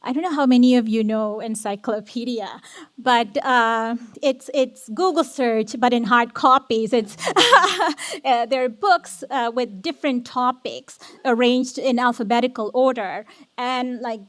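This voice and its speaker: A woman's voice: on the high side, measured pace, a bit bright, no room sound. A middle-aged woman telling her personal story as a panelist at a public event, earnest, with many fillers and restarts.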